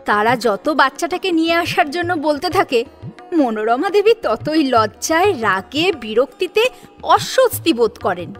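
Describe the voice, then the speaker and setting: A woman narrating a dramatic story in an expressive storytelling manner. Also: sits high, measured pace, soft background music.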